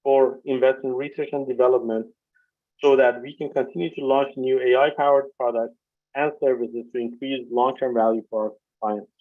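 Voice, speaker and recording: A man reading prepared financial remarks as a company executive, formal and measured, on a video conference call. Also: medium pitch, steady tone. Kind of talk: scripted corporate earnings presentation. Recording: dull, lo-fi conference call audio.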